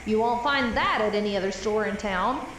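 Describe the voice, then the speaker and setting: An actress playing a jaded, sardonic store employee making mock announcements over an intercom in an apocalypse audio drama. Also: female voice, mid-range voice, moderate pace, fairly bright tone. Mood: mock cheery. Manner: sarcastic mock-announcer delivery. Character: jaded young female superstore employee, lone apocalypse survivor, sardonic. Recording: echoing intercom, distant, faint noise.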